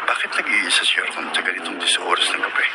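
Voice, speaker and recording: An actor with a Filipino accent playing a kind-hearted, protective married office worker in a radio drama. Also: male voice, low-pitched, fairly even intonation, quick pace, lightly acted. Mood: musing, concerned. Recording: loud street ambience and vehicle noise.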